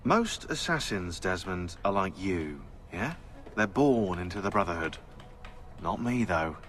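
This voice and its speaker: An actor playing a sardonic, eccentric British conspiracy researcher turned assassin in a video game, chatty, opinionated and sarcastic. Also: male voice, low-pitched, lively intonation, a little slow, fairly bright tone, slight background noise. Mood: musing. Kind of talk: game cutscene dialogue.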